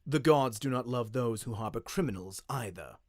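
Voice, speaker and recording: A man voicing a stern, cruel aging king who speaks in a measured, menacing way. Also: medium pitch, expressive, dry recording, clean studio sound, pitched below the performer's own voice, close to an everyday voice.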